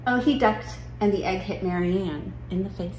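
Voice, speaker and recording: A woman's voice: medium pitch, moderate pace, darkish tone, lightly acted. Storybook schoolgirl, played by a woman: young, unbothered, matter-of-fact storyteller in a roomy recording with slight noise. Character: nonchalant young schoolgirl recounting a farm trip. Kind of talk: children's picture book read-aloud. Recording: roomy living room with noise.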